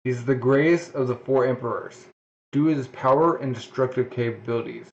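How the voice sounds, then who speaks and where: A man's voice: mid-range voice, fairly quick. A man casually reading a manga aloud, often stumbling and restarting words. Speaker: reader. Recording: dull lo-fi home recording.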